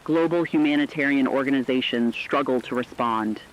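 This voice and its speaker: A man's voice: medium pitch, normal speed, lightly acted. A man playing a stern radio war correspondent, giving a solemn and emphatic scripted report. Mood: grave, resolute. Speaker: news correspondent. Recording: dull lo-fi radio sound.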